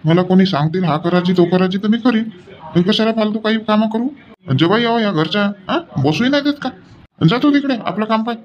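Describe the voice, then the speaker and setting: A man's voice: sits high, fairly quick, lo-fi sound, lightly acted. A man voicing a put-upon middle-aged village husband in a cartoon comedy, whining and grumbling in rural Vidarbha Marathi. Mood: grumbling, aggrieved. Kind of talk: homely cartoon comedy dialogue.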